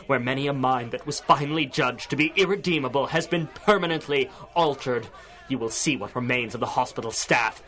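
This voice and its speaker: A man's voice: mid-range voice, sharp tone. An actor playing a vengeful, theatrical male supervillain in a radio serial, declaiming like an accusing prosecutor. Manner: grandiose, oratorical, accusing. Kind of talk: 1930s radio serial acting.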